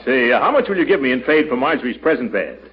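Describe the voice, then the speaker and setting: An actor playing a pompous, blustery middle-aged uncle who flusters easily, in 1940s radio comedy style. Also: male voice, mid-range voice, sing-song, slightly rough. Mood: sly haggling. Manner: blustery, drawn-out exclamations and hesitations. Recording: dull, hissy 1940s radio recording.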